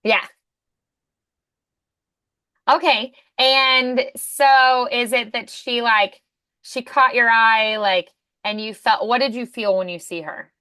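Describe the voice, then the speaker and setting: A woman coaches voice acting in a clear, smooth voice with a very dry, close sound. She talks one-on-one in an unhurried way, prompting with questions and affirming the answers. Her manner is encouraging. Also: fairly high pitch, fairly even intonation, bright, forceful tone, dry recording.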